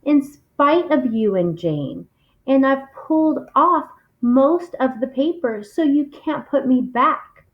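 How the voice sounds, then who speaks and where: A woman reading the part of an unhinged wife, gently insistent and sweetly defiant toward her husband in a story. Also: high voice, lively intonation, slow, narrow, tinny audio, voice pushed up. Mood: triumphant, defiant.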